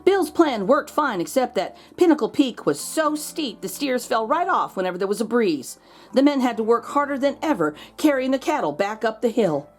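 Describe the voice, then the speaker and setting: A woman reading a children's picture book aloud as host, expressive, drawing out words for drama. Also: on the high side, normal speed, soft background music. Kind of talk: animated storybook read-aloud for children.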